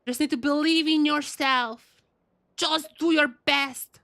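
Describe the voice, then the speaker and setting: A woman's voice: high voice, steady tone, slow pace, fairly bright tone, no echo, clean studio sound. A woman doing casual live game commentary for her chat, repeating phrases as she thinks aloud, her voice a little worn from a cold. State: getting over a cold, voice wearing out. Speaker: game streamer.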